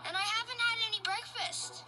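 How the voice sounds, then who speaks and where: A woman's voice: very high-pitched, steady tone, a little fast. A woman voicing a polite, eager and curious young boy in a children's storybook, with an earnest manner. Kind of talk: storybook character acting. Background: music.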